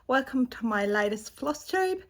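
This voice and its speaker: A middle-aged woman with a slightly croaky voice, chatting casually at home about her cross-stitch projects with a rambling, chatty manner, recorded on a camera's built-in mic. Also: high-pitched, expressive, a little slow. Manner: chatty, rambling, with fillers and asides. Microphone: camera's built-in mic, no external microphone.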